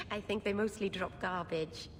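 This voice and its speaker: An actress playing a wistful, innocent young woman with a dreamy, halting manner in a video game. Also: medium pitch, fairly bright tone, slight background noise. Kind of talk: video game cutscene acting.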